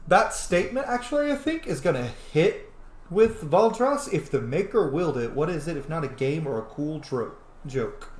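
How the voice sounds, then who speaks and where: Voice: medium pitch, sing-song, normal speed, a little mellow, echoey room. A game streamer giving casual roleplay commentary in a candid way, with some swearing. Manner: candid, profane. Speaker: gaming video host.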